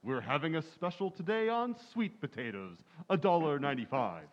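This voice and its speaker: An obliging, formal store clerk in an old-time radio comedy, played by a man. His fairly high voice moves up and down in a lively way. The recording sounds slightly dull. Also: moderate pace. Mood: courteous, obliging. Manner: formal, deferential salesman patter. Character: polite, obliging music store salesman. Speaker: amateur actor in a community playhouse radio recreation.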